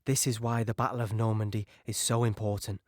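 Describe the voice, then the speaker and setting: Male actor as British wartime soldier, earnest, reflective, sombre monologue, mid-pitched, dry close sound, audio drama. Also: level tone, a little fast, darkish tone, dry recording, lightly acted. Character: sombre, conscience-troubled British soldier recounting the Normandy campaign. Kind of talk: dramatic first-person monologue in an audio play.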